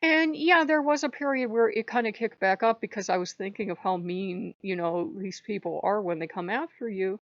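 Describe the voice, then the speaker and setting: A slightly hoarse woman hosting her own channel, talking in an earnest, rambling way and repeating phrases for emphasis. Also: mid-range voice, normal speed, few pauses, a bit bright, no echo, muffled recording. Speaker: channel host. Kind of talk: casual spiritual vlog monologue.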